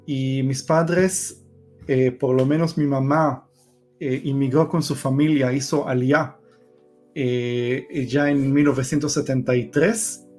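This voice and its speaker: A man in his thirties from Israel, whose first language is Hebrew, telling his personal story in Spanish slowly and hesitantly with many fillers. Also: medium pitch, steady tone, a little mellow, soft background music. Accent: Israeli, Argentine-influenced Spanish. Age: 30s. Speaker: guest giving a testimony. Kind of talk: personal testimony.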